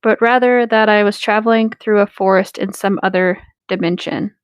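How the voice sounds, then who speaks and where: A woman's voice: mid-range voice, steady tone, normal speed, smooth, no room sound. A woman in casual, playful talk with friends, joking and reading a story aloud. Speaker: co-reader in a group creepypasta reading.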